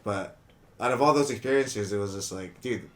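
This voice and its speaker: A man in his twenties from Indonesia, a guest on a podcast, telling his story in a relaxed, rambling way with lots of "you know what I mean.". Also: lowish voice, moderate pace. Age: 20s. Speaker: guest, brand co-founder. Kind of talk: casual podcast interview. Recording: echoey room.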